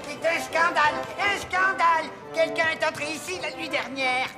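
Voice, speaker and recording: Casting: bossy, excitable cartoon elf, extremely high voice, fussy. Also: female voice, normal speed, music in the background, crowd noise. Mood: indignant. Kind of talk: French children's cartoon dubbing.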